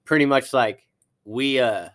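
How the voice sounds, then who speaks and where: A man's voice: mid-range voice, unhurried, a bit bright. Laid-back young man hosting a studio podcast. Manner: laid-back, rambling with fillers. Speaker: podcast host. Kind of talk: casual studio chat. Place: recording studio.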